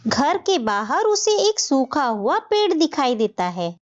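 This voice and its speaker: A woman's voice: sits high, sing-song, slow pace, dull audio. A woman storyteller reading scripted narration for a children's cartoon tale.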